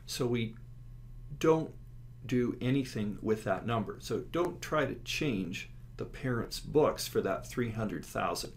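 Male lecturer, lowish voice, explanatory delivery with stressed key words, faint background noise. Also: slow pace. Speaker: accounting instructor. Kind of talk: lecture explaining slides step by step. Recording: clear steady background noise.

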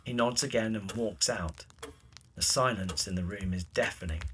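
A man's voice: lowish voice, normal speed, a bit bright, slight background noise. A man narrating scripted stage directions for a drama.

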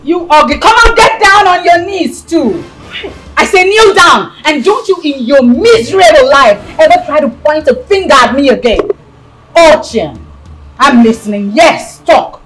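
A woman's voice: high voice, animated, normal speed, background noise. A woman with a Nigerian accent playing a haughty, spiteful young princess in a screen drama, scolding and ordering people about with contempt. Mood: haughty, scornful.

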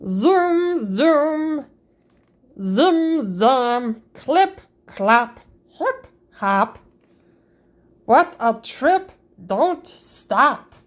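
Slow sing-song woman reading aloud. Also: big pitch swings. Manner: slow, sing-song, jumps high on playful sound words. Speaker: storybook reader. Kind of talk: children's read-aloud.

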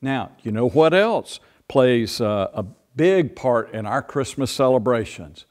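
An older man in his sixties, a pastor who talks slowly and playfully to a group of children. His pitch rises and falls a lot as he explains things. He is recorded by a camera across a church sanctuary. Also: lively intonation, a little mellow. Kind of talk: children's sermon. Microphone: far camera.

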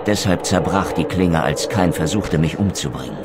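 Very deep, brooding undead vampire. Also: male voice, animated. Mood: somber dread. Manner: solemn, deliberate, brooding. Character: grim undead vampire wraith, vengeful and brooding. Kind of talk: dramatic German game narration and dialogue. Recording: music behind.

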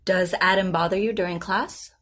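An actress playing a patient, professional therapist in an audio drama, asking questions in a measured, careful way. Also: female voice, lowish voice, fairly even intonation, a bit bright. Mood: calm, firm. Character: composed, patient woman therapist, probing and professional. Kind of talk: naturalistic audio drama dialogue.

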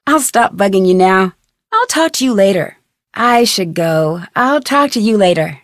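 A woman voicing an inquisitive, persistent young amateur detective in a video game, earnest and clearly articulated. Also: mid-range voice, expressive, measured pace, no echo, clean studio sound, natural voice. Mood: curious, polite. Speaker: voice actor playing the lead detective. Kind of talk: video game dialogue lines.

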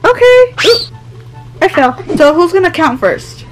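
A woman voicing a friendly, playful plush toy, telling simple spooky stories for children with dramatic, drawn-out words. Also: very high voice, sing-song, exaggerated voice. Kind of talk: playful puppet-play storytelling for children. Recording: music playing under the voice.

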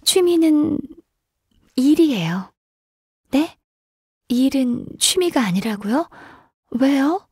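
A woman playing a gentle, shy, earnest half-qilin secretary in a video game, speaking softly and politely with some hesitation. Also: sits high, expressive, takes it slowly, pauses often, no room sound, clean studio sound, full character voice. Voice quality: soft, breathy. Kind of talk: video game character voice lines.

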